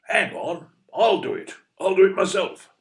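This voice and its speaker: An actor playing a blunt, stubborn older British husband in an audio play, speaking in a dry, matter-of-fact way. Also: male voice, sits high, sing-song, normal speed, gravelly, heavily acted. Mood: determined. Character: blunt, grumpy older husband wanting his freedom.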